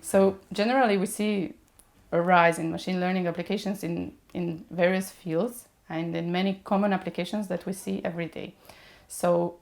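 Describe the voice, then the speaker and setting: A woman whose native language is Serbian, explaining machine learning as a podcast guest. Her voice sits low with a gravelly edge. She talks patiently and hesitates often while she finds the next word. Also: steady tone, moderate pace, few pauses, darkish tone. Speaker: mechatronics engineer. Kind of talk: podcast interview.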